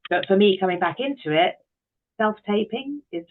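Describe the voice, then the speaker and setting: A woman's voice: mid-range voice, lively intonation, normal speed, slight background noise. A middle-aged woman speaking as an interview guest, reflective, articulate and emphatic, on muffled call audio.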